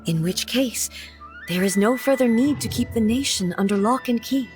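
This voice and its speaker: A woman voicing a composed, regal female deity ruler in a game, speaking in a measured, formal and dignified way. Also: fairly high pitch, darkish tone, lightly acted. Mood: solemn. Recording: game audio with faint music behind.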